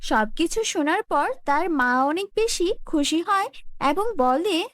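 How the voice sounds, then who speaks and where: High-pitched woman narrating a fairy tale. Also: animated, takes it slowly, fairly bright tone, no echo, studio quality.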